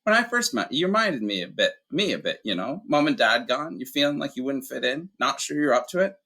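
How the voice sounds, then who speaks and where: A man putting on a huge, soft-hearted half-giant who speaks in a hoarse, croaking voice, his words tumbling out in a choked dialect. The voice sits well above the reader's own and stays husky and bright-edged. It sounds like an audiobook character voice. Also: medium pitch, very animated, brisk, pitched above the performer's own voice, exaggerated voice. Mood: fond. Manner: broken, emotional dialect speech. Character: half-giant gamekeeper, big, tearful, gruff and warm.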